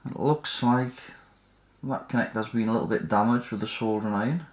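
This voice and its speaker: A man's voice: lowish voice, brisk. A man giving casual hands-on repair commentary, tentatively thinking aloud as he works. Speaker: host of an electronics repair channel. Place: indoors at a repair workbench. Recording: roomy, echoey indoor sound.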